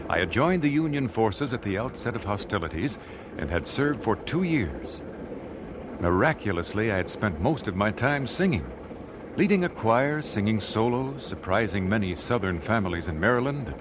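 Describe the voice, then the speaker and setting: Reserved american gentleman narrating his memories, radio drama, very expressive, noisy old recording. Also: on the low side. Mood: nostalgic. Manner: measured, reflective, well-spoken. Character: thoughtful thirty-year-old singer recalling his life, genteel and reserved.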